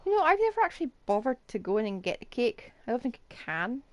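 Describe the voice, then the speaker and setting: A woman's voice: fairly high pitch, very animated, fast, a little hiss or hum. A woman streaming a video game, giving casual, dry and wry live commentary. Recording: game music behind.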